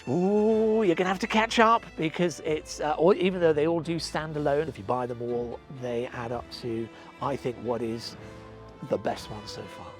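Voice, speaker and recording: A man with a gravelly, husky voice, chatting haltingly in an interview. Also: a little high, faint music behind. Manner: halting, repeats words while searching. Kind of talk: interview chat.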